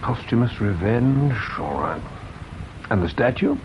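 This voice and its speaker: An actor playing a measured, dryly courteous English police inspector in a radio crime drama, questioning in a deliberate, understated manner. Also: male voice, mid-range voice, sing-song, highs cut off, noisy background. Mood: dryly reflective.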